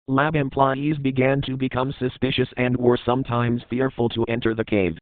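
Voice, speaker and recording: A man's voice: mid-range voice, little pitch movement, moderate pace, dry recording, narrow, tinny audio. A man reading scripted horror narration in the manner of a documentary report.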